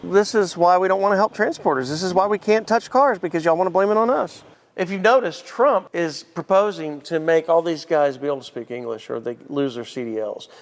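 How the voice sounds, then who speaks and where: A man's voice: high-pitched, a little fast. A middle-aged man hosting a casual car vlog, ad-libbing in a blunt, joking, salty way. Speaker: vlog host and car dealer. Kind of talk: casual, ad-libbed walkaround vlog.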